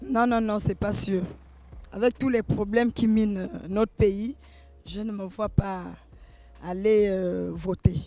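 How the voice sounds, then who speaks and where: A woman's voice: medium pitch, fairly even intonation, unhurried, dark tone, faint music behind. A woman answering a street poll in a doubtful but firm way. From Gabon. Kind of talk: vox pop answer.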